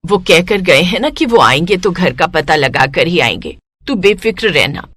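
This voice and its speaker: Affectionate mother figure, acted by a female narrator, lowered pitch, reassuring, middle-aged, cartoon storytelling. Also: sing-song, moderate pace, a bit bright, slightly rough, full character voice.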